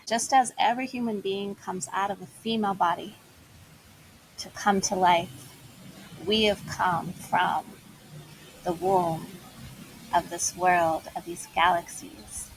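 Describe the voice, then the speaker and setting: Slow, soothing woman guiding meditation. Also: lowish voice, steady tone, slight background noise, low-quality recording. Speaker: meditation guide. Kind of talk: guided meditation. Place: Bali, Indonesia.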